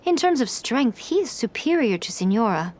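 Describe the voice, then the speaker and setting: A woman's voice: a little high, very animated, moderate pace, darkish tone. A woman playing an elegant, worldly shrine priestess in a video game, poised, refined and playful. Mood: matter-of-fact. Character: sly, elegant, teasing shrine priestess, worldly and self-assured. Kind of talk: video game cutscene acting and narration. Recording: music behind the voice.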